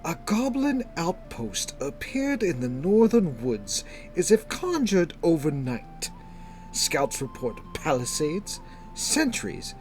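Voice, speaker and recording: Masked fantasy noble, played by a male narrator: cold, menacing, measured, slow delivery. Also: a little high, pitched above the performer's own voice, full character voice. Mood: grave. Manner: measured, deliberate, precise.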